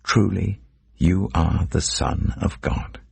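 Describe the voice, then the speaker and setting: A man reading as an earnest, deep-voiced disciple. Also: slow pace, vocal fry, darkish tone, breathy edge, no room sound, heavily acted. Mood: awed. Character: earnest, deferential male disciples of a holy teacher.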